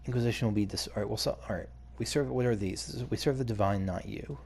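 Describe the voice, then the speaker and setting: A man's voice: lowish voice, fast, vocal fry, a little mellow, a little hiss or hum. A man giving casual video game playthrough commentary, hesitantly thinking aloud as he goes. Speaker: gaming video host.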